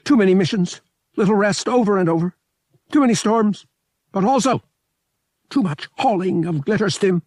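A man's voice: sits high, animated, moderate pace, pauses often, darkish tone, dry recording, clean studio sound, voice pushed up. A man voicing a small, mournful, frail alien pilot who talks in clipped broken English in an audiobook. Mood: mournful, weary. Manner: clipped, article-dropping alien phrasing.

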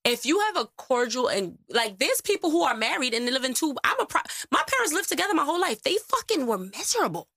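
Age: unknown. Sex: woman. Style: laughing, slangy podcast talk. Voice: higher-pitched with vocal fry. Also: fast talker, few pauses, no room sound, studio quality. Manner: lively, slangy, laughs often. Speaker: podcast co-host. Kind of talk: casual chat.

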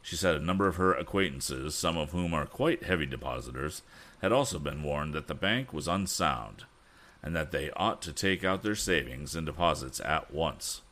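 A man voicing a weary aged bank president who speaks gravely and measuredly, reading a storybook aloud. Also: very deep voice, expressive, normal speed, fairly bright tone.

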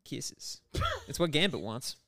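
A man's voice: medium pitch, lively intonation, unhurried, creaky, a bit bright, no room sound, clean studio sound. A man co-hosting a podcast, chatting enthusiastically and casually about pop culture. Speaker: podcast co-host.

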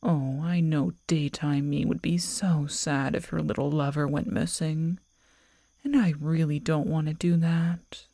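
A woman's voice: low-pitched, steady tone, creaky, mellow, dry recording, heavily acted. A woman playing a predatory, teasing werewolf girlfriend in an intimate, half-whispered ASMR roleplay, close to the microphone. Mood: possessive, coaxing.

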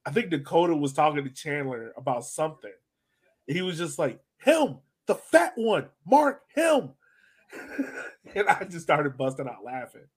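A man's voice: on the high side, sing-song, measured pace, breathy edge. A man hosting a soccer fan podcast in casual chat, informal and slangy.

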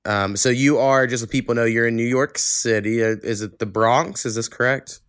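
A man's voice: mid-range voice, normal speed, a bit bright, no room sound, studio quality. An American man hosting a podcast in casual chat, with an informal, rambling, laid-back manner. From Oklahoma, United States. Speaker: podcast host.

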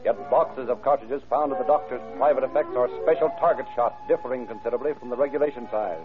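An actor playing a grave, dramatic narrator of an old-time radio crime story. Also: male voice, medium pitch, fast, music in the background, close to an everyday voice. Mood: factual, brisk. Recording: tinny, band-limited vintage radio recording.

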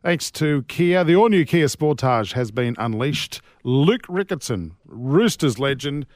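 A man with an Australian accent hosting a sports radio show, brisk and upbeat as he reads the links. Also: medium pitch, animated, a little mellow, no room sound, clean studio sound. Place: radio studio.

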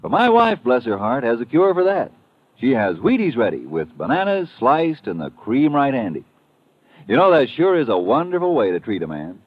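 A man's voice: mid-range voice, huge pitch swings, normal speed, dry recording. An actor playing a folksy, contented American family man in an old-time radio commercial, chatting to the listener conversationally. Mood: folksy, persuasive. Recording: dull, dry vintage radio recording.

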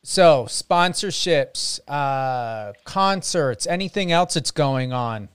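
A man hosting an interview, asking encouraging, unhurried questions. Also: on the high side, expressive, smooth, no room sound, studio quality. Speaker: interview host. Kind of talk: casual interview chat.

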